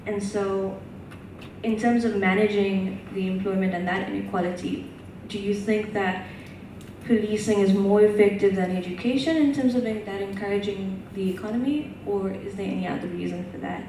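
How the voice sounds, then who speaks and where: A woman's voice: mid-range voice, fairly even intonation, normal speed, dark tone. A woman student asking a long, carefully built question from the audience at a conference. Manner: careful, detailed multi-part questioning. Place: large conference hall. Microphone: distant microphone. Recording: echoey hall, distant mic, noisy.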